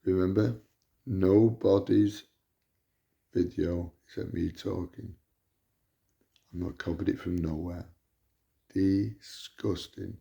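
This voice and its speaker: A man with a loud voice, delivering an indignant, repetitive rant to camera as a vlogger. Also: sits low, steady tone, slow pace, creaky, long pauses, muffled recording. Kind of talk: ranting monologue to camera.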